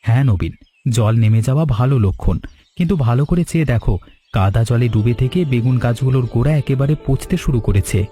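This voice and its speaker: Man playing a steady, mellow young village husband. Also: mid-range voice, quick pace, slightly rough, faint music behind, close to an everyday voice. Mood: concerned. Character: calm, responsible young village husband and son-in-law. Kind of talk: Bengali cartoon story dialogue.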